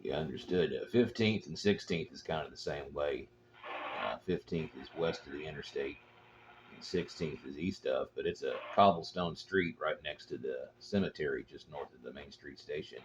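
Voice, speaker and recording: A man's voice: rumbling low, moderate pace. A man chatting casually as an amateur radio operator, talking in a matter-of-fact, rambling way. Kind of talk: casual chat over two-way radio. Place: portable, out at a local spot. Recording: dull lo-fi audio with clear noise.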